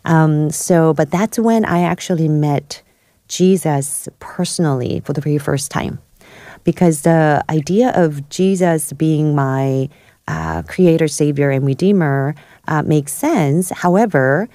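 A woman from South Korea, a guest in a studio interview, telling her life story with many pauses and fillers. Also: deep voice, mellow, dry recording. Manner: hesitant, many fillers and restarts. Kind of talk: podcast interview, personal story.

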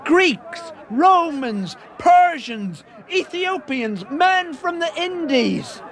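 A man's voice: very high-pitched, sing-song, drawn-out words, fairly bright tone, heavily acted. An actor playing the apostle Peter, a plain-spoken man preaching earnestly to a crowd in a radio drama. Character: Peter, bold fisherman apostle, middle-aged man.

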